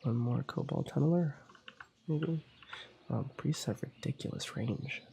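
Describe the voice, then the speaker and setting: A young man with a cold, quietly commenting on his gameplay in a soft ASMR style, often slipping into whispers. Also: medium pitch, a little slow, gravelly, slight background noise. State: has a cold. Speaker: ASMR gaming channel host.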